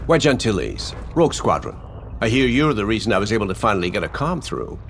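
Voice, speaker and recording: An actor playing a confident, easygoing rebel ace pilot in a video game, explaining plans in a plain-spoken, assured way. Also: male voice, fairly low pitch, sing-song, quick pace, a little hiss or hum. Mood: businesslike. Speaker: pilot leader giving a briefing. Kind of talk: scripted cinematic game acting. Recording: orchestral score behind. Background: cinematic music.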